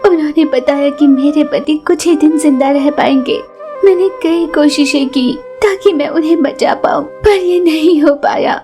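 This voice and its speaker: Woman acting a high-voiced, selfless village mother. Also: normal speed, over music, natural voice. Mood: forgiving, earnest.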